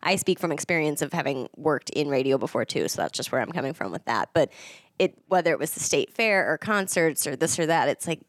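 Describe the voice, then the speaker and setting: Low-voiced woman, dry sound. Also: animated, a little fast, dry recording. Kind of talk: casual chat.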